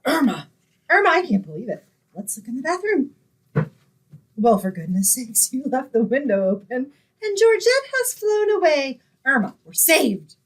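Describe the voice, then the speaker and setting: Casting: actress as sensible, long-suffering young roommate narrating radio comedy; highish voice, lively swoops, wry delivery. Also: female voice, moderate pace, husky, heavily acted, rough recording. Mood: relieved. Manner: wry, expressive. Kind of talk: old-time radio sitcom acting and narration.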